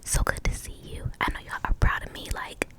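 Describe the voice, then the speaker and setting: American female, 20s, breathless whispered ASMR host, casual and rambling, softly repeats words. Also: a little fast. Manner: chatty, long-winded, repeats words softly. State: pregnant and short of breath.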